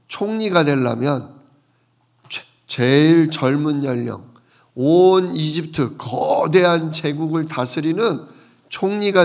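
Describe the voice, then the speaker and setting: A man preaching a conversational Bible sermon as a pastor, explaining things with rhetorical questions in a gravelly voice. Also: on the high side. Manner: explanatory, asks the congregation rhetorical questions and answers them.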